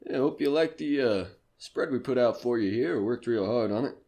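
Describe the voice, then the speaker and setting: A man playing a flattering, small-time comedy club manager in an audio drama, halting and ingratiating. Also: medium pitch, close to an everyday voice. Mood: ingratiating, nervous.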